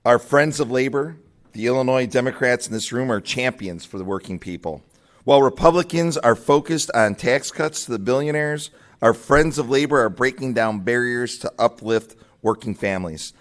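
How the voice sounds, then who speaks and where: A man's voice: mid-range voice, animated, normal speed. A man giving a rousing labor speech at a political breakfast, lifting his voice on key words. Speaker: labor leader speaking at a party breakfast. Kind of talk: rally speech.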